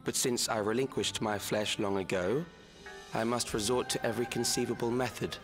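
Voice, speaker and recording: A man's voice: mid-range voice, steady tone, moderate pace, soft background music, close to an everyday voice. A man playing a cold, detached scientist villain in a video game cutscene, speaking with formal precision. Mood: cool, detached. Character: cold, calculating male scientist without flesh, a villain.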